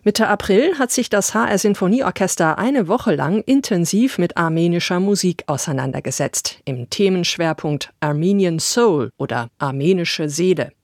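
A woman's voice: fairly low pitch, expressive, normal speed, few pauses, a little mellow, no echo, clean studio sound. A woman presenting a classical concert on radio with scripted narration.

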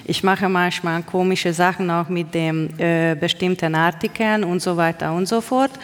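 A woman's voice: fairly low pitch, fairly even intonation, normal speed. Hungarian-accented woman poet in a hall. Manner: searching for words, self-correcting. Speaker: poet, interview guest. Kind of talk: reflective interview answers. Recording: long reverb of a hall.

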